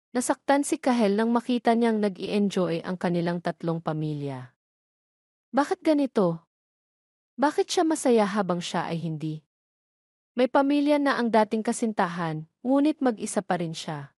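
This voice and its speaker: A woman's voice: mid-range voice, expressive, moderate pace, darkish tone, no echo. Filipino woman narrating, dry close recording. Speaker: story narrator. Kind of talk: scripted narration of a romance drama.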